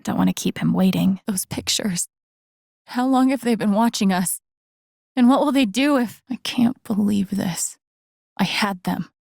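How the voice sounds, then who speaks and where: A woman's voice: medium pitch, a little slow, creaky, a little mellow, husky, no room sound, clean studio sound. An actress playing a troubled, earnest young woman with a wry, self-doubting streak in a naturalistic video game performance, understated and introspective. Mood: defeated, worried. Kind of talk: naturalistic video game voice acting, inner monologue.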